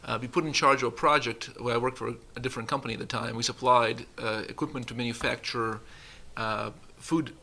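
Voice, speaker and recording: A man's voice: medium pitch, fairly even intonation, brisk, gravelly, bright, forceful tone. A man in his forties, a company vice president, speaking earnestly on a conference panel with an uneven pace and some restarts. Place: conference room.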